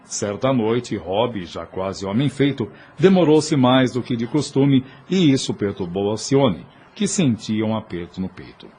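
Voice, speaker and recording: A man's voice: mid-range voice, lively intonation, measured pace, hardly any pauses, warm tone, breathy edge. A Brazilian man giving scripted narration for an audio drama in formal language.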